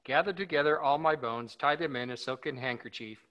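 A man voicing a sorrowful magic bird that chants its lament in a slow sing-song, in an amateur group read-aloud of a fairy tale. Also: medium pitch, fairly bright tone, dull audio, close to an everyday voice. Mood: mournful chant.

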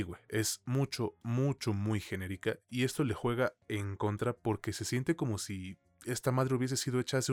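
A man's voice: medium pitch, no echo. A man with a Mexican accent co-hosting a film podcast, chatting casually in informal, slangy, mildly profane speech. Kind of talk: casual film review chat.

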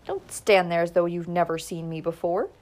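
A woman's voice: fairly low pitch, moderate pace, darkish tone, natural voice. A woman voicing a determined, persistent young woman who talks in an earnest, coaxing way.

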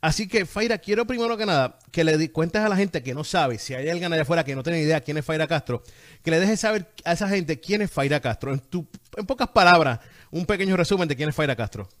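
A male interview host talks in an effusive, conversational style. His voice is a bit higher than most men's. He often repeats phrases to stress them as he addresses his audience and guest. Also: lively intonation, quick pace.

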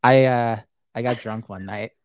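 A young man from Saudi Arabia talks loosely as a podcast guest, piling on filler words and breaking into laughs. His voice sits at an ordinary pitch for a man. The recording is close and dry, with no room sound. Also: steady tone, moderate pace, a little mellow, no room sound. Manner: rambling, heavy on filler words, laughs often. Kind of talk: casual chat.

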